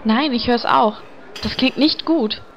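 A woman's voice: on the high side, measured pace, over loud music. A woman playing a dry, sarcastic, practical heroine in a fantasy parody radio play, on a dull, noisy recording. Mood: worried. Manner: sardonic. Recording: dull sound, clear background noise.

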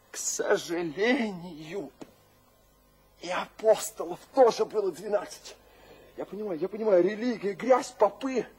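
An actor playing a feverish, exalted poet tormented by visions, declaiming dramatically in a radio play. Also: male voice, high-pitched, breathy edge, heavily acted. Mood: impassioned. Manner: declamatory, dramatic, shifting between hush and outcry. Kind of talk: theatrical radio drama monologue.

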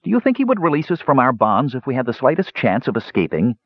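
A man voicing a weary young mage in an audiobook, speaking in a measured, reasoning way. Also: medium pitch, huge pitch swings, dry recording, dull audio, pitched above the performer's own voice. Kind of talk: audiobook character voice.

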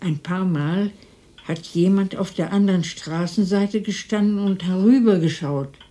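A woman's voice: mid-range voice, unhurried, mellow, lightly acted. An actress playing a lonely, motherly elderly neighbour in radio drama dialogue, with a fussing, hospitable manner. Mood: subdued, reminiscing. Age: older.

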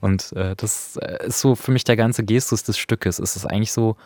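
A man's voice: on the low side, steady tone, normal speed, a little mellow, no room sound, clean studio sound. A man co-hosting a radio podcast, talking reflectively and explaining as he searches for his words. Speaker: podcast co-host. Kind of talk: conversational radio discussion of audio drama. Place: dry radio studio. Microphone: close studio microphone.

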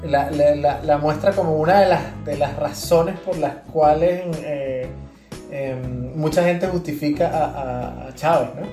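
A woman's voice: low voice, a little slow, dark tone, echoey room, soft background music. A woman with a Venezuelan accent chatting casually as a podcast co-host, explaining things through examples.

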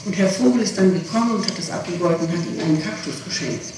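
Middle-aged woman on echoing, noisy old tape. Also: fairly low pitch. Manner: halting, evasive, searching for words. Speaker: witness being questioned. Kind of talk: police interrogation answers. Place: reverberant room, far from microphone. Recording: noisy, echoing 1960s interrogation tape, distant.

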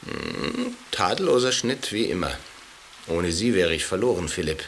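Deep, forceful sardonic Thomas. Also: male voice, big pitch swings, normal speed, gravelly, a little hiss or hum, full character voice. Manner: dry, grumbling, sarcastic. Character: Thomas, ailing stranded man, sardonic, querulous, fed up. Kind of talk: radio drama dialogue.

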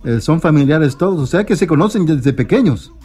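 Upbeat radio host man, slightly high. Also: lively intonation, brisk, a little mellow, husky. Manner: enthusiastic, hesitant, many fillers. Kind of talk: radio interview.

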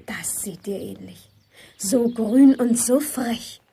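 A woman's voice: fairly high pitch, slow, gravelly, mellow, slightly rough, exaggerated voice. A woman playing a prickly, scornful young woman in a radio play, curt and sarcastic in naturalistic dialogue.

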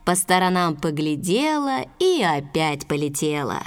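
A woman telling a children's fairy tale as an expressive storyteller. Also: deep voice, a little slow, clean tone.

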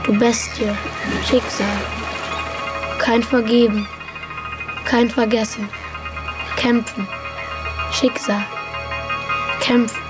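An actress playing a driven, defiant character, declaiming insistent short phrases in a radio drama. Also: female voice, fairly high pitch, little pitch movement, slow pace, long pauses. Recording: music behind.

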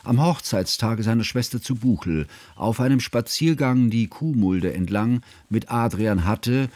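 An actor playing a grave, scholarly older man who narrates his composer friend's life in a radio play, solemn and measured in ornate literary phrases. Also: mid-range voice, darkish tone, dry recording, lightly acted. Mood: mournful, reverent.

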